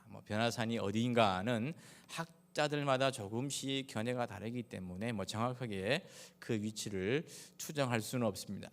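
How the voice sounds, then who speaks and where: A man from Daegu with a Gyeongsang Korean accent, preaching a sermon as a pastor in a measured, halting way with frequent pauses. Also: mid-range voice. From Daegu, South Korea. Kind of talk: sermon with personal anecdotes. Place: large reverberant church hall. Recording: echoey hall with long reverb.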